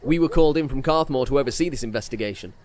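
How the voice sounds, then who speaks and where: A man acts out a brusque detective for a fantasy audio comedy. His voice sits at a middling pitch, dry and matter-of-fact, with little patience for anyone. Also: rapid-fire, darkish tone, close to an everyday voice. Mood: stern, businesslike. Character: brusque, impatient male lawman detective, dry and dismissive.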